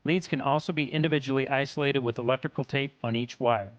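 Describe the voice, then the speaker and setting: A man reads scripted technical narration for an instructional video in a measured, instructional way. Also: medium pitch, fairly even intonation, no echo, muffled recording.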